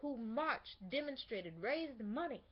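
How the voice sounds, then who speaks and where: A woman's voice: high-pitched, animated, breathy edge, exaggerated voice. Woman acting an indignant civil rights activist, dull recording. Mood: impassioned. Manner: measured, emphatic, rhetorical, with weighty pauses. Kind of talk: theatrical monologue, oratorical speech.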